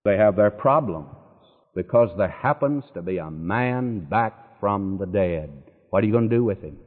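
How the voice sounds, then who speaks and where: A man's voice: fairly low pitch, lively intonation, normal speed. Man preaching in an echoey hall. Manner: dramatic preaching, rising when quoting scripture. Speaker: pastor. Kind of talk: sermon. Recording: echoey hall, tinny old recording.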